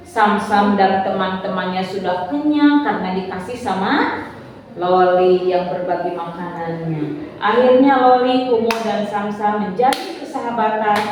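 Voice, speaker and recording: A woman telling a story to young children, animated and asking them questions. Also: medium pitch, slight background noise. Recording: echoey room from far off.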